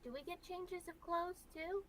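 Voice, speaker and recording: A woman's voice: very high-pitched, fairly even intonation, a little slow, a bit bright. A woman chatting casually as a player in a tabletop game. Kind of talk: casual chat. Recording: faint noise behind.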